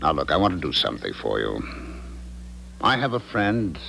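An actor playing a smug, callous married philanderer in a 1970s radio drama, patronizing and cajoling. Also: male voice, a bass voice, sing-song, normal speed, vocal fry, pushed and bright, slight background noise, exaggerated voice. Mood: smug, condescending. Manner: patronizing, cajoling, hesitant when cornered.

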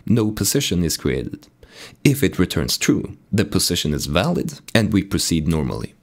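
Man, very deep voice, raspy and sick, lively intonation, calm code tutorial narration. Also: normal speed. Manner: calm explanatory walkthrough. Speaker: game dev tutorial host. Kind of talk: screen-recorded coding tutorial narration.

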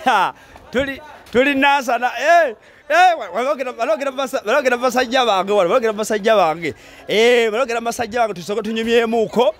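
Street interview host: man, Ugandan, quick delivery, high register, playful and repetitive presenting. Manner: animated, repetitive, bantering. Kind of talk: lively vox-pop presenting. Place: busy open-air market.

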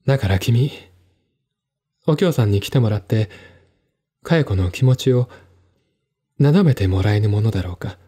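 A man voicing a melancholy, earnest, troubled gentleman who confides and pleads, in a one-man radio drama reading. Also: fairly low pitch, animated, normal speed, long pauses, husky. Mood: troubled pleading.

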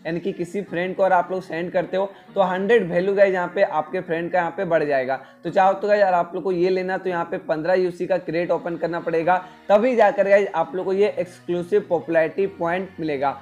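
A man's voice: sits high, steady tone, fast, hardly any pauses, a little mellow, lo-fi sound. A young man explaining game events as a video host, constantly addressing viewers with repeated filler phrases. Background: background music.

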